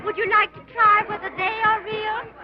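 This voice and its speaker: A woman's voice: very high-pitched, level tone, moderate pace, far from the mic. An actress playing a playful young woman at a fair who teases her companion, on an old film soundtrack. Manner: teasing, giggly. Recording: dull lo-fi early film soundtrack with crowd noise.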